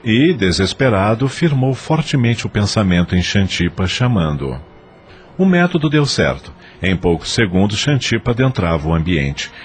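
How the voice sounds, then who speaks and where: Deep-voiced Brazilian male narrator. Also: very animated, normal speed, soft background music. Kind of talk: scripted dramatic audio novel narration.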